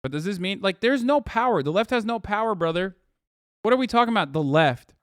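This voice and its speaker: A fairly high, smooth male voice recorded close and dry, as a streamer reacts to an article. He rants with heated sarcasm and frequent swearing, pausing on fillers before driving his point home. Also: animated, a bit bright, no room sound. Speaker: streamer host. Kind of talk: live stream reaction commentary.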